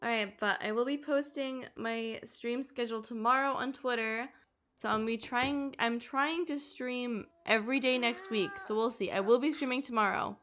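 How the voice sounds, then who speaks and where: A young woman streams games and talks casually with her viewers. Her voice is on the high side. She sounds tired and sleepy. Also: moderate pace, a bit bright. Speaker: game streamer. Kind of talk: casual livestream chat.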